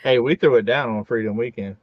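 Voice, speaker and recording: A man's voice: medium pitch, a little fast, no echo. Man in his forties, dull dry sound. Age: 40s. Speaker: co-host of a live chat stream. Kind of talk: casual chat.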